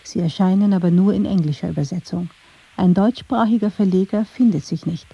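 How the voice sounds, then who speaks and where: A woman narrates a radio feature from a script. Her voice is very dark and soft-edged, with some huskiness. The sound is a little muffled. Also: lowish voice, a little fast, creaky, slightly rough.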